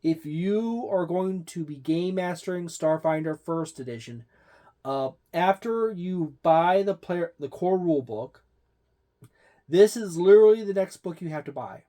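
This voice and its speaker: A man's voice: sits high, animated, unhurried, warm tone. A man hosting a tabletop game channel, giving a casual product review in a rambling way with many fillers and restarts.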